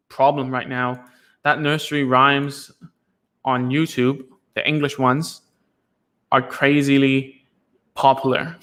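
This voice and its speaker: A man's voice: medium pitch, fairly bright tone. Rambling man on a live stream. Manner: rambling, many fillers and restarts. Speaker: speech therapist hosting a live stream.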